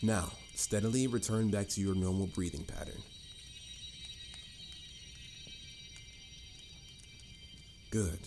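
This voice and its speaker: Guy doing a deep voiced wizard mentor, mellow n confident, kinda formal, teaching a newbie, music behind. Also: moderate pace, lightly acted. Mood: calm, instructive. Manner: instructive, theatrical, slightly formal. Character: confident adult male mage mentor, patient, boastful, encouraging. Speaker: voice actor. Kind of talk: scripted fantasy audio roleplay.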